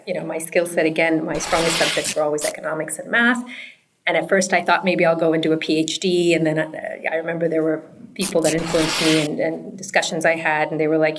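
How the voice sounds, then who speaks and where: A woman, answering interview questions in an earnest, reflective, conversational way. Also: low voice. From Pakistan and the United States. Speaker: interview guest, startup founder.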